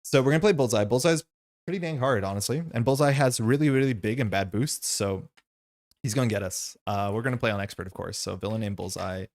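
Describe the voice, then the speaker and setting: A man's voice: fairly low pitch, fast talker, a little mellow. A man whose first language is Slovenian, hosting and chatting casually and ramblingly while playing a card game. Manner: chatty and rambling, with frequent fillers and asides. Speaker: host.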